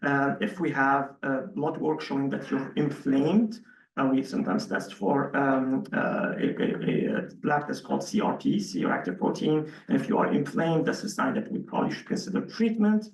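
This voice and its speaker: A man's voice: medium pitch, normal speed, vocal fry. A man physician at a hotel conference podium, methodically explaining treatment choices with frequent fillers. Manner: methodical, hesitant with many fillers. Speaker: physician on a panel. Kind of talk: conference Q&A answer. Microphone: podium mic, heard from the room camera. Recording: echoey room, distant mic.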